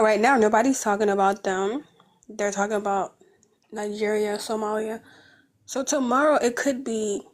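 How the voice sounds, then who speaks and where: Woman, somewhat high voice, flat and even, hesitant, slips into near whispers. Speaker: panelist. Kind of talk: casual panel discussion.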